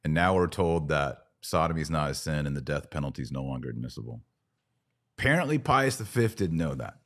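A man's voice: a bass voice, expressive, a little fast, a bit bright. A man hosting a conversational religious commentary podcast, opinionated and informal in manner. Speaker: podcast host.

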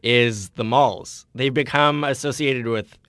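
A man's voice: medium pitch, slow pace, fairly bright tone, no room sound. A man chatting casually as a podcast host.

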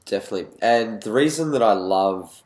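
A man hosting a podcast, his voice stuffy and nasal from a cold. He sits a little low in pitch and speaks with enthusiasm. The sound is roomy and echoey. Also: lively intonation, fairly quick. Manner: warmly enthusiastic wrap-up. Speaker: podcast host. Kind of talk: casual interview talk. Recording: echoey room.

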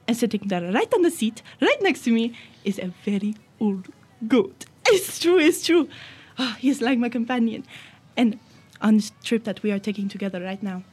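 A woman's voice: on the high side, darkish tone, breathy edge. A girl doing a fretful Swedish missionary woman. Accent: put-on Swedish. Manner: broken English, fretful and chatty.

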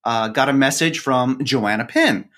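A man's voice: medium pitch, measured pace, a bit bright. A man speaking casually as an interviewed podcast co-host, with a wry, dryly humorous way of talking.

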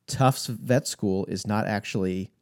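A man's voice: fairly low pitch, unhurried, clear, resonant tone. A man hosting a casual podcast interview, easygoing and encouraging. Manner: easygoing, encouraging, short backchannels. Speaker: podcast host.

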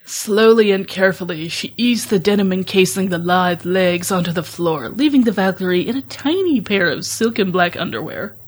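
A woman's voice: mid-range voice, lively intonation, normal speed, few pauses. A woman co-hosting a podcast, giggly and self-conscious, chatting casually and narrating amateur fan fiction. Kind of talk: casual chat and amateur story reading.

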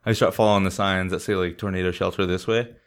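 A man's voice: sits low, fast talker, clean tone. A man chats casually as a podcast co-host. He tells his story in loose, slangy, swear-filled language, acting out what people said as he goes. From San Diego, California, United States. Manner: profane, slangy, animated storytelling with acted-out quotes. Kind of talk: casual chat, anecdote telling.

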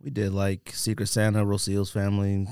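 A man's voice: on the low side, monotone, normal speed, gravelly, darkish tone, clean tone, no echo. A man with an American accent hosting a podcast, bantering casually with friends in slangy, joking talk. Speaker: podcast host.

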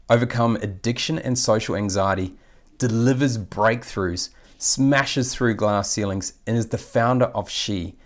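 A man hosting a podcast, reading a formal, effusive guest introduction and then asking questions. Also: lowish voice. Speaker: podcast host. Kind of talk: scripted guest introduction and interview.

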